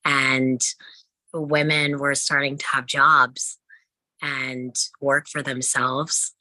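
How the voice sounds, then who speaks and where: A woman explaining her point in a measured way during a casual group discussion. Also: rumbling low, little pitch movement, sharp tone, dry recording, rough recording.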